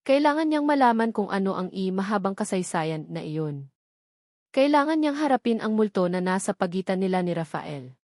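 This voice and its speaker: A woman with a Filipino accent narrating a scripted romantic audio drama in a measured, dramatic storytelling manner with deliberate pauses. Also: mid-range voice, very animated, a little mellow, no room sound, studio quality.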